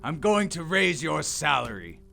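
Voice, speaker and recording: A man playing a reformed old miser in an audio drama, giddy, warm and exclamatory, often repeating himself. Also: on the high side, very animated, a little slow, vocal fry, full character voice. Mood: generous. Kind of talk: theatrical audio drama acting. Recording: music playing behind the voice.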